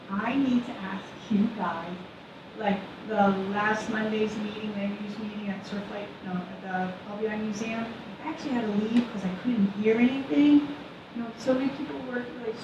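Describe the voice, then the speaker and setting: A woman's voice: a little high, normal speed. A woman resident making a public comment, chatty with lots of fillers, who says she is deaf in one ear. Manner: chatty, filler-heavy, animated. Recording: dull echoey room audio, distant, noisy.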